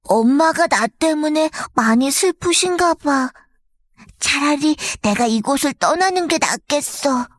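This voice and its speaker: A woman voicing a lonely young ugly duckling in a children's storybook, soft and plaintive. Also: high-pitched, lively intonation, a little slow, no echo, clean studio sound. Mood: wistful.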